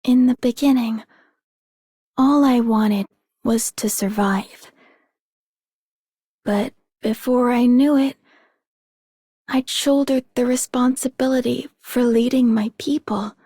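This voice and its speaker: A woman voicing an earnest, weary young female leader in a video game, soft, breathy and solemnly measured. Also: on the high side, fairly even intonation, no room sound, studio quality. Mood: solemn, uncertain. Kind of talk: game character voice acting.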